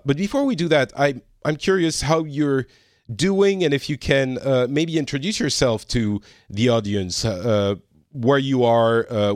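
Halting man hosting a podcast, dry sound. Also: mid-range voice, no room sound. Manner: halting, many fillers and restarts. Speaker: podcast host. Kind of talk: casual interview chat.

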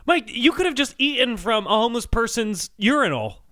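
Animated, high-pitched man bantering on a podcast. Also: a little fast, dry recording, clean studio sound. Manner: teasing, mock-scolding. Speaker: podcast co-host. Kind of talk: casual comedic banter.

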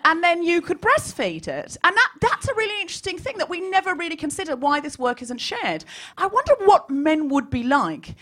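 A woman comedian with a high-pitched, swooping voice performs to a live audience. She is animated and mock-serious, playing up every point as a podcast host. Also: brisk. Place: small live comedy venue. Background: audience laughter.

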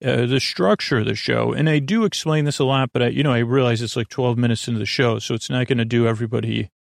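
A man with a mid-pitched, very creaky voice rambles as a sleep podcast host. He is soothing and lulling, drifting between tangents and trailing off mid-sentence. The sound is dry, with no room around it. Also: quick pace, dry recording. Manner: meandering, tangential, trailing off and restarting.

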